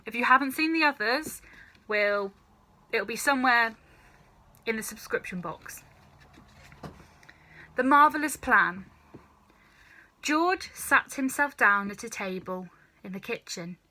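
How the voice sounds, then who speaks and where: A woman reading a children's story aloud in an expressive, dramatic, emphatic way. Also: fairly high pitch, slow pace, long pauses. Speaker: storybook reader.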